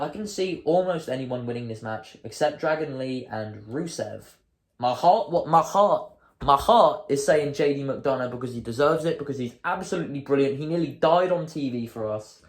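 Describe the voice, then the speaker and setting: A hoarse man hosting his own show, chatting casually and thinking aloud, often changing his mind mid-sentence. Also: mid-range voice, animated, moderate pace, echoey room. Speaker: host. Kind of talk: casual solo commentary.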